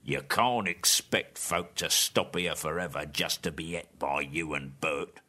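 A huge, dim-witted troll rumbles in a drawl. The voice sits extremely low and moves slowly, with a bright, forceful edge to its rough delivery. It is a character from a read-aloud storybook. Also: male voice, full character voice. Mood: grumbling. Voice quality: gruff, slow, rough dialect. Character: huge coarse male troll, grumbling and dim. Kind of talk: audiobook character voice.

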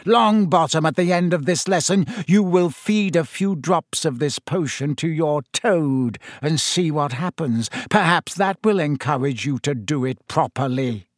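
A man voicing a cold, sneering male schoolteacher, drawing out his words slowly and scornfully. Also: a little high, dry recording, studio quality, lightly acted. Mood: stern. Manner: slow, cutting, scornful delivery.

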